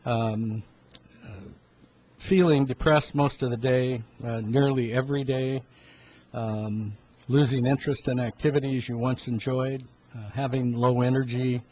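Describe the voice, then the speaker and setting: A man in his seventies giving an informal health talk in a halting, rambling way, often clearing his throat. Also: mid-range voice, steady tone, darkish tone. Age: 70s. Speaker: health talk host, medical practitioner. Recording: dull lo-fi sound with background noise.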